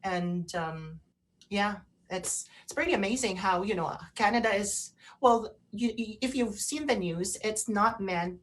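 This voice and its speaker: A woman co-hosting a radio show in casual chat, halting and often repeating herself. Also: mid-range voice.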